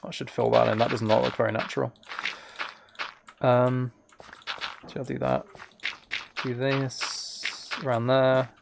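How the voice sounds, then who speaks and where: A man casually chatting on a live game stream, thinking aloud in a relaxed way, close to the microphone. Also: mid-range voice, measured pace, creaky, pauses often, background noise. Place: indoors at a computer.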